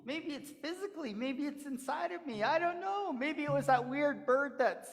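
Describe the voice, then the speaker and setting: A vain, boastful coyote trickster, put on by a storyteller, his voice jumping far above a man's usual register. He exclaims everything in a high, strained way. The sound rings out with a long hall echo. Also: lively intonation, moderate pace. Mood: puzzled.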